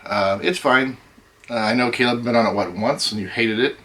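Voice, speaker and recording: A middle-aged man hosting a casual chat, rambling with many ums, his voice going hoarse. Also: medium pitch, normal speed, sharp tone. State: losing his voice. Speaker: host.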